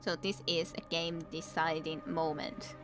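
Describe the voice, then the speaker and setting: Finnish woman streamer, low voice. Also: level tone, a little slow, over music. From Finland. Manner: chatty, answers chat, laughs often. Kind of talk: casual gameplay commentary.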